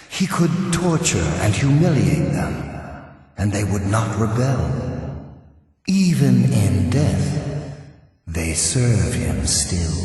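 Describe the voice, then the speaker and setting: Menacing ghost in cavernous reverb. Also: male voice, medium pitch, a little mellow. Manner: theatrical, slow and ominous. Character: menacing male undead ghost, haughty and solemn. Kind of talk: video game character dialogue. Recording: cavernous long reverb, distant.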